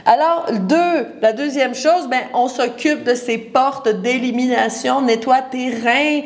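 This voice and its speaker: A woman's voice: high-pitched, slow pace. A woman in her forties with a Quebec French accent, a naturopath giving a casual live talk and speaking straight to the viewer with everyday comparisons. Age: 40s. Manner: chatty, addresses the viewer directly, explains with everyday comparisons.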